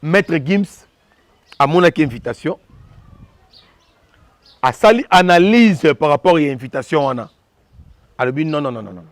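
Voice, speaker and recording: A man from Congo giving impassioned opinion commentary, talking fast and repeating himself as he switches between French and Lingala. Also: on the high side, expressive, no echo.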